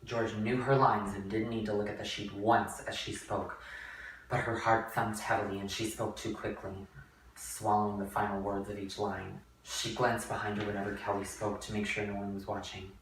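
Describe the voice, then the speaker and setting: A man's voice: lowish voice, flat delivery, normal speed, fairly bright tone. Guy narrating a kids book dramatically, far from the mic, lots of room echo, faint noise. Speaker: narrator, actor. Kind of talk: dramatic audiobook-style narration.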